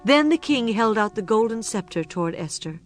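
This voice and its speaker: A woman's voice: fairly high pitch, expressive, moderate pace. Woman narrating scripture over plucked music.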